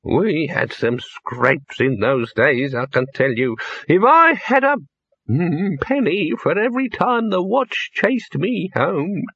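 A man voicing a very old, rambling male wizard who reminisces in a high, quavering, chuckling voice. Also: big pitch swings, slow, fairly bright tone, slightly rough, dry recording, exaggerated voice. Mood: reminiscing. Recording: dull lo-fi, dry.

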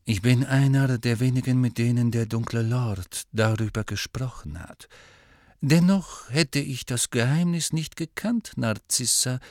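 A man voicing a guarded, commanding wizard in an audiobook, with a measured, aloof and emphatic manner. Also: medium pitch, a little mellow, dry recording, studio quality, close to an everyday voice. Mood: quiet, controlled.

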